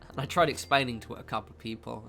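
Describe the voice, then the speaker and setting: A man's voice: medium pitch, a little fast, creaky, sharp tone, a little hiss or hum. A man, nasal and stuffed up from a cold, talking through a game building tutorial in a chatty, rambling way that keeps correcting itself. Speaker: game video creator.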